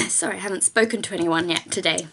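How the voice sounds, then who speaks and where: A young woman from England vlogging from her bedroom, chatty and rambling with lots of ums, her voice a little croaky from just waking up. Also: on the low side, fairly quick, background noise. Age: young adult. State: slightly croaky morning voice. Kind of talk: casual talking-head vlog while doing makeup. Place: small bedroom with bookshelves, indoors. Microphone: camera at close range.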